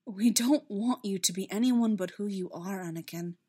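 A woman voicing a firm, protective male Jedi mentor in a story, measured and reassuring. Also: mid-range voice, dark tone, husky, close to an everyday voice. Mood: insistent.

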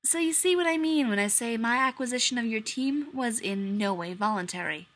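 A man's voice: very high-pitched, expressive, a little fast, fairly bright tone. A woman voicing a laid-back male air force colonel who drawls his words and keeps a dry tone. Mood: wry.

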